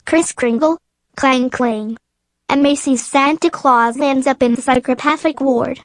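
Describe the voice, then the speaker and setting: A woman's voice: sits high, steady tone, unhurried, no echo. A woman playing an anxious, businesslike department store executive in a radio drama. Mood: worried. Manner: earnest, worried.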